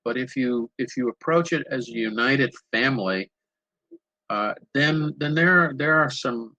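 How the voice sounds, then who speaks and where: Older man, bright unhurried anecdotal voice. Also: mid-range voice, no room sound, dull audio. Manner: unhurried, anecdotal, repeats words as he searches. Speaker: panel moderator. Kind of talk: conversational panel answer. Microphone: video call.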